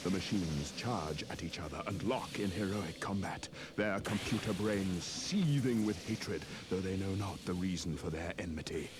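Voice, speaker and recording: A man's voice: low voice, normal speed, few pauses, close to an everyday voice. A man playing a solemn, world-weary namer of war machines, narrating a children's audio story in a portentous manner. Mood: grave, weary. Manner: grave, portentous. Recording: loud music behind the voice.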